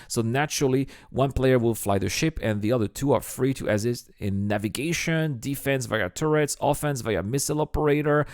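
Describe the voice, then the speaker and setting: A man hosts a gaming explainer, reading out questions and answering them in a mid-pitched voice that is a little worn from being sick. The sound is close and dry, like a studio voice-over. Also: a little mellow, dry recording. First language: French. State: sick, voice strained from illness. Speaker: gaming video host. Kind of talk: scripted Q&A commentary over game footage.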